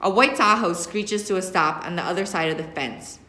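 A woman's voice: on the low side, moderate pace, sharp tone. Woman reading a novel aloud, echoey classroom. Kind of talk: expressive audiobook-style first-person narration. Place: indoor classroom.

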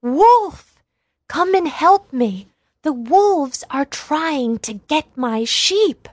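A woman's voice: high-pitched, big pitch swings, extremely slow, no room sound, pitched above the performer's own voice, heavily acted. A woman voicing a young shepherd boy in a children's storybook, crying out earnestly. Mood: alarmed shouting. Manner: crying out, exclamatory. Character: young shepherd boy, frightened, pleading, mischievous.

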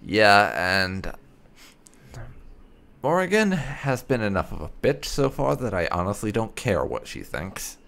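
A man's voice: fairly low pitch, very animated, unhurried, creaky, fairly bright tone. A man giving casual, musing gameplay commentary and reading out dialogue choices. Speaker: let's play host.

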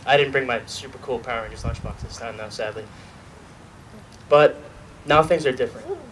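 A man's voice: medium pitch, moderate pace, fairly bright tone. Young man giving a graduation speech. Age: young adult. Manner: earnest, lightly joking, reads from notes. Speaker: student speaker. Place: outdoor graduation ceremony at a podium. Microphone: podium mic filmed from a far camera. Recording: distant outdoor recording with noise.

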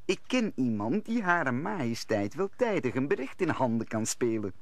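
An actor playing an excitable musketeer conspiring with his comrades in an old Dutch radio play. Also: mid-range voice, normal speed, dry recording. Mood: conspiratorial.

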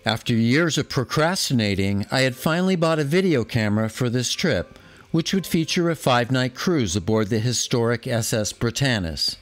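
Narrator, man, sixties, steady documentary read, faint music behind. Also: medium pitch. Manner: measured, informative, fond of the subject. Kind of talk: scripted voice-over narration.

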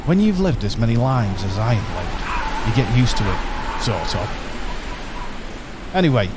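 A man playing a calm, eccentric male alien time-traveler in a radio play, talking in a musing, whimsical way. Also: lowish voice, a little slow, pitched below the performer's own voice. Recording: radio play with clear background noise.

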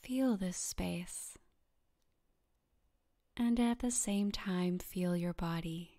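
Slow, soft-spoken woman guiding meditation. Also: on the low side. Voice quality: soft, breathy, half-whispered. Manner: calm, soothing, gently instructing with long pauses. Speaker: yoga nidra meditation guide. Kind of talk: guided meditation narration.

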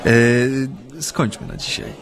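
Genial, reflective Japanese husband. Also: male voice, medium pitch, huge pitch swings, slow, gravelly, exaggerated voice. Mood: reflective, wry. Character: genial Japanese man reminiscing about married life in Poland. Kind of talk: Polish radio drama acting, conversational. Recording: studio radio play with music.

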